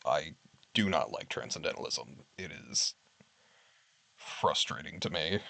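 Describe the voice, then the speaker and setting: Low-voiced man chatting dry and close. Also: animated, measured pace, creaky, bright, forceful tone, no room sound. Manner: candid, wry, reads list items aloud and comments. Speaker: streamer. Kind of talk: casual chat with viewers. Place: home streaming setup.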